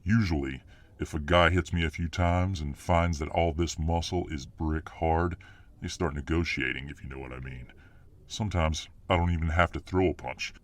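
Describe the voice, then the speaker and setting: A man's voice: very deep voice, fairly even intonation, normal speed. A man playing a dominant, boastful, hot-tempered muscular fireman boyfriend in an ASMR roleplay audio drama. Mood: cocky, casual. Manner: boastful, cocky swagger.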